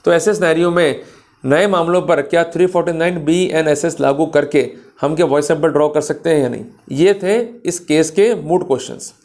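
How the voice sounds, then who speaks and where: A man's voice: a little high, darkish tone. A man with an Indian accent giving an explanatory law lecture in an emphatic, didactic manner, mixing in English terms.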